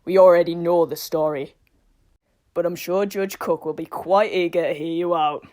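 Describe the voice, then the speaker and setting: Woman playing a stern, lowish-voiced police officer. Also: steady tone, moderate pace, dark tone, close to an everyday voice. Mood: stern, dismissive. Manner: stern, dry, dismissive. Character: stern, unimpressed police officer lecturing youths. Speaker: voice actor in a fan dub.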